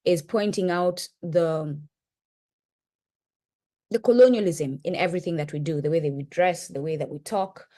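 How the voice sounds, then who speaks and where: A woman's voice: moderate pace, pauses often, warm tone. A middle-aged Kenyan woman with a Kenyan accent, co-hosting and giving an impassioned lecture-style talk, with emphatic rises and repeated checks of "right?". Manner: emphatic, rising pitch on key points, frequent "right?". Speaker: podcast co-host presenting.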